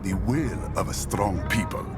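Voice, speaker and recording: An actor playing a dignified, composed middle-aged prime minister in a video game, speaking in a measured, formal way. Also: male voice, low voice. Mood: gracious, reflective. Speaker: political leader. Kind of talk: cinematic video game voice acting. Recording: loud music and vehicle sounds behind. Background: loud music, car engine.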